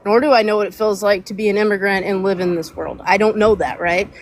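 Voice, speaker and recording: A woman's voice: medium pitch, fast, slight background noise. A woman on an online panel, speaking in a hesitant, conciliatory way with many fillers. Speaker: panel guest.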